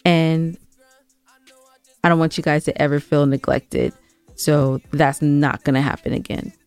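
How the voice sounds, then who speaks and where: A woman with a fairly low voice co-hosts a casual podcast. She speaks directly and insistently, in conversational back-and-forth. The sound is dry, with a little music around it. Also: fairly even intonation, measured pace, darkish tone, clean tone, dry recording. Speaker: podcast co-host. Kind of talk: casual banter and chat with co-host.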